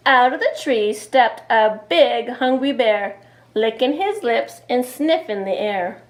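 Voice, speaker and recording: A woman in her thirties reading a picture book aloud, expressively and deliberately, with explaining asides to young children. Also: fairly high pitch, expressive. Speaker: children's storyteller. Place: small indoor room, seated before a cloth backdrop.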